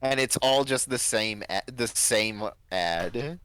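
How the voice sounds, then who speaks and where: A man's voice: mid-range voice, takes it slowly, fairly bright tone, clean tone. A man chatting casually as co-host of a stream. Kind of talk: casual chat.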